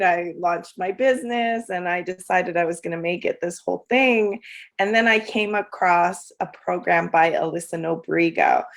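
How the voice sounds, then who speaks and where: Woman coach on a podcast, lowish gravelly voice, rambles w lots of ums. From El Salvador, raised in Canada. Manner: rambling, with many fillers and restarts. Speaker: guest coach. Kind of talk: casual podcast interview.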